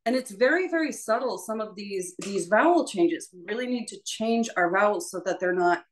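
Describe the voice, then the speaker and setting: A woman's voice: mid-range voice, expressive, moderate pace. A woman leading a choral workshop as a music teacher, explaining informally and conversationally, often checking in with her listeners.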